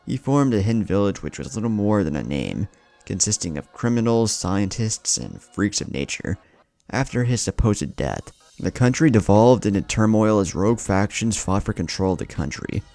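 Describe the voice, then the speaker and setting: A male narrator reads scripted adventure fiction in a dramatic manner. His voice is low and gravelly, with lively movement in pitch as he tells the story. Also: normal speed. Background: music bed.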